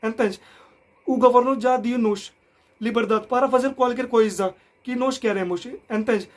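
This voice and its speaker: A man's voice: extremely high pitch, steady tone. A young man from India speaking Portuguese as a learner. He explains things casually to the camera, often restarting and correcting himself and checking in with "entende?". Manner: halting, frequent restarts and self-corrections. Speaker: vlogger.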